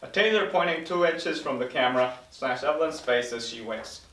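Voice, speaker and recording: A man's voice: medium pitch, fairly quick, a bit bright. A man reading stage directions as the narrator of a scripted table read. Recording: roomy echo, distant mic.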